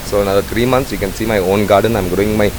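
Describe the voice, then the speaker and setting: A man's voice: fairly low pitch, steady tone, racing. A man, a head bartender talking in a rambling way with many fillers. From Sri Lanka. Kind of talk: casual interview. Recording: noisy bar ambience.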